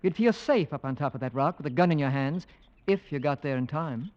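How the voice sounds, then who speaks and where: A man playing a brisk, skeptical English gentleman with an English accent, clipped and debonair, in an old radio drama. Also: fairly high pitch, expressive, muffled recording, close to an everyday voice. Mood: keen, intent. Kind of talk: 1940s-50s radio drama acting.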